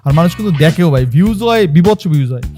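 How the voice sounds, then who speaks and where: Young male reaction host, exclamatory and very quick, music behind him. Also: sits high, lively intonation, warm tone. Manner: repetitive, slangy, exclamatory. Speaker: meme reaction video host. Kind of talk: casual reaction commentary.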